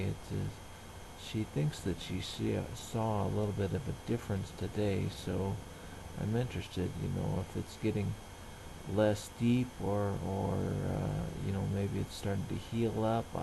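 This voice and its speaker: A middle-aged man casually narrating everyday tasks in a halting, rambling, plain-spoken way. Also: lowish voice, little pitch movement, gravelly, background noise. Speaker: vlogger.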